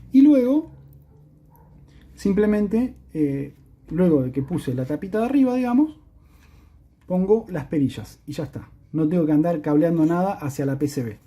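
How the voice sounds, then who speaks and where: An Argentine man gives a hands-on tutorial in a husky, mellow voice. He explains things practically and keeps checking that the listener follows. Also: a little high. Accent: Argentine Spanish. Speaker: electronics tutorial presenter.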